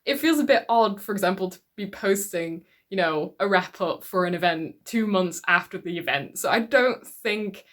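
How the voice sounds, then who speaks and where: A woman's voice: mid-range voice, animated, moderate pace, few pauses. A woman from the Netherlands whose native language is Dutch, chatting casually and reflectively to her viewers as a book YouTuber. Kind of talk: casual talk to camera about reading.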